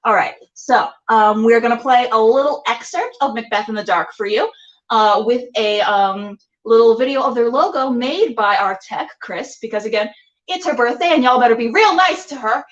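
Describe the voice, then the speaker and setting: High-voiced, animated woman hosting. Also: moderate pace, highs cut off. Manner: exclamatory, informal. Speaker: show host. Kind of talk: casual, excitable hosting and interviewing.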